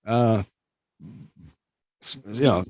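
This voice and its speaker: A man's voice: lowish voice, extremely slow, a little mellow, no echo, lo-fi sound. A man hosting a comedy podcast, joking and rambling with lots of fillers. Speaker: podcast host. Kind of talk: casual comedy podcast chat.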